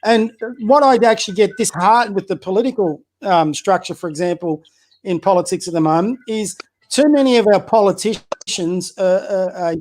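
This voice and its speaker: High-voiced blunt man. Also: normal speed, darkish tone. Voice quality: high-pitched voice. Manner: blunt, opinionated, full of rhetorical questions and hesitant restarts. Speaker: community basketball coach, podcast guest. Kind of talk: casual political chat.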